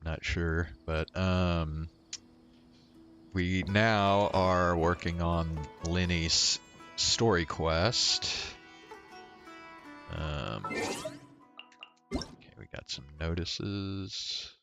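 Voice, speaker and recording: A man's voice: low voice, drawn-out words, pauses often, fairly bright tone. A man chatting casually on a game livestream, rambling and hesitant as he thinks aloud, with game music behind him. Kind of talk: casual chat while playing a game.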